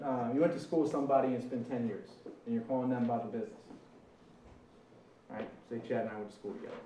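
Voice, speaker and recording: A man's voice: medium pitch, mellow. A man giving a recruiting training talk to a live audience, repeating his points and checking in with "okay?" and "right?". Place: meeting room with audience. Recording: echoey room from a distance, faint background noise.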